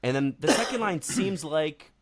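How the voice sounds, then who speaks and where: A man co-hosting a casual sports podcast, telling stories in a relaxed way with many fillers. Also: on the high side, moderate pace, heavy background noise. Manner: relaxed storytelling with frequent fillers. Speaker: podcast co-host.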